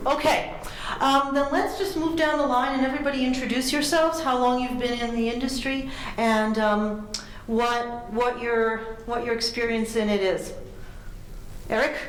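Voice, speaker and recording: A woman moderating a conference panel, introducing speakers briskly with some fillers. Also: on the high side, fairly even intonation. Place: large conference room. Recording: echoey room, faint noise.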